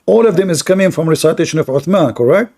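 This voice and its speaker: A man hosting an online debate with a mellow, warm voice. He presses his opponent with the same questions over and over, his grammar non-native. He is heard over a video call. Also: a little high, expressive, fast. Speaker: debate host.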